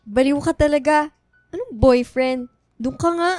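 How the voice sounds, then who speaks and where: An actress playing an affectionate, dutiful college-age daughter in a radio drama. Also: female voice, high-pitched, measured pace, a little mellow, no room sound. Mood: cheerful. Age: young adult. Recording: music behind the voice.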